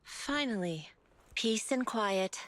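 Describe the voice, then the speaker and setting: An actress playing a serene, self-assured martial master in a video game, speaking in a composed, measured and slightly cryptic way. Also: female voice, medium pitch, lively intonation, fairly bright tone, a little hiss or hum. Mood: calm, amused.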